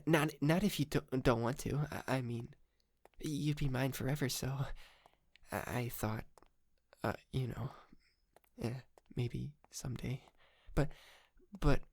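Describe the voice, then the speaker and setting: Man playing a slow, stammering, polite lovesick kidnapper. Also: medium pitch, gravelly, darkish tone, husky, dry recording. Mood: flustered. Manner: hesitant, stammering, nervous giggles, apologetic. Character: shy, polite, clingy young male yandere kidnapper, lovesick and awkward. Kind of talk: intimate ASMR roleplay to the listener. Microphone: close microphone, dry.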